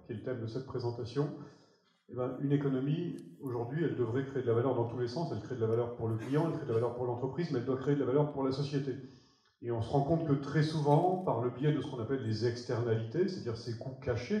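A man's voice: medium pitch, warm tone. A man in his forties with a French accent, giving an earnest, emphatic conference keynote on a large theater stage through a headset mic. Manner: earnest, emphatic, moving around the stage and gesturing. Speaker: keynote speaker. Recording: roomy hall sound.

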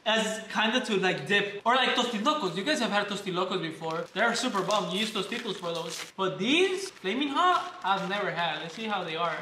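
A young man in his twenties with a Mexican accent, telling animated stories and swearing freely in a casual snack-tasting chat. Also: high-pitched, brisk, few pauses, slight background noise. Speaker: vlog co-host. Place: bright living room. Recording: echoey room, faint ambience.

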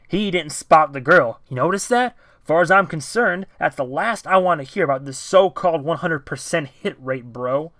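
A man voicing a gruff, confrontational man who threatens another with a gun. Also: fairly high pitch, animated, normal speed, hardly any pauses, fairly bright tone, dry recording, clean studio sound, voice pushed up. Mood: aggressive.

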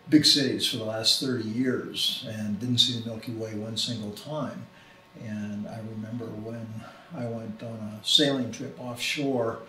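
A man's voice: on the low side, far from the mic. A middle-aged man talking reflectively in a documentary interview. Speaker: interviewee, photography group founder. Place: small home office, indoors. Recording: roomy, off-mic, music behind. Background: music.

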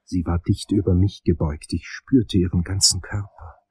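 An actor as a brooding investigator narrating a crime audio drama. His voice is low, dark and slightly husky, measured and intense, recorded very dry. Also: fairly even intonation. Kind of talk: German crime radio play narration.

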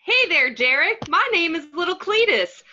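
Woman putting on a high, sugary little kid. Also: sing-song, normal speed, bright, forceful tone, full character voice. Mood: chirpy. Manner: exaggerated childish sing-song. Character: fake little kid in curls, sugary propagandist. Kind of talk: comedic movie script table read. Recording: muffled lo-fi video call.

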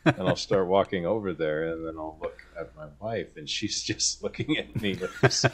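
A man's voice: sits low, normal speed, a little hiss or hum. A man talking casually and conversationally as a podcast co-host.

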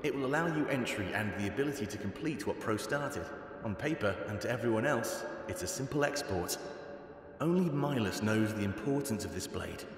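A man's voice: on the low side, expressive, a little fast. A man playing a solemn, calculating animal rebel leader in a fantasy video game. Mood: urgent, commanding. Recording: echoey cavernous reverb.

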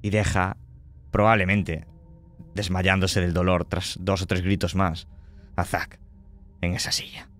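A man's voice: low voice, little pitch movement, measured pace, long pauses, a bit bright. A man narrating a tabletop horror game as game master, speaking conversationally and checking in with his players.

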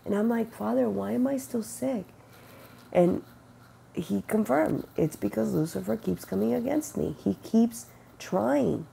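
A middle-aged woman with a cold, sniffling and rough-voiced, giving an earnest, unhurried spiritual testimony into an earbud mic in her bedroom. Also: medium pitch, animated, dark tone, a little hiss or hum. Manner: earnest, unhurried, quotes God in conversation. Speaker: faith vlogger. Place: bedroom with bright window, indoors. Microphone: wired earbud mic, webcam close-up.

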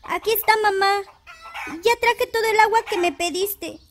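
A meek little girl in a radio play. Her voice is extremely high and apologetic. Roosters crow faintly behind her. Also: steady tone, normal speed, slight background noise. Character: small, apologetic, obedient little Mexican girl. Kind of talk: audio fiction acting.

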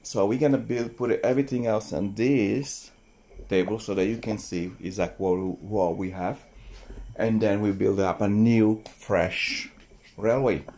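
A man hosting a toy train video, narrating slowly and casually to young viewers while he handles wooden toys in a playroom. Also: lowish voice, fairly even intonation, dark tone. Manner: slow, unhurried, talking to children viewers. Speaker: toy train channel host. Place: indoor playroom with shelves and toy train table.

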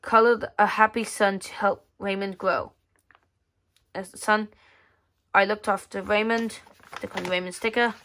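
A woman casually reads through a children's magazine, halting as she reads and adding offhand muttered asides. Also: mid-range voice, steady tone, a bit bright, slight background noise. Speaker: magazine reviewer. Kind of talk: casual read-aloud walkthrough of a children's magazine. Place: indoors at a tabletop.

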